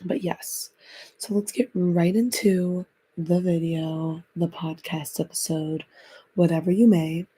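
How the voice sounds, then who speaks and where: Lady podcaster, kinda raspy from being sick, low warm voice, chatty and encouraging. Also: steady tone, slow pace, low-quality recording. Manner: chatty, encouraging, repeats phrases. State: raspy, recovering from illness. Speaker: podcast host. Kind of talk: casual self-help podcast monologue.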